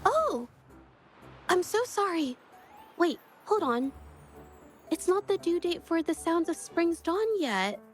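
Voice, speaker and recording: High-voiced, shy young woman painter. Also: very animated, slow pace, pauses often, faint music behind, heavily acted. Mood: awkward, apologetic. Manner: hesitant, stammering, self-effacing. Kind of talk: video game character dialogue.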